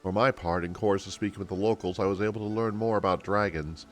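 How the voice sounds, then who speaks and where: An earnest, courteous searcher in a fantasy game, acted out by a man, speaking in formal, old-fashioned phrases. The voice sits lowish to middling with game music running underneath. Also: fairly even intonation, quick pace, close to an everyday voice. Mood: thoughtful, formal.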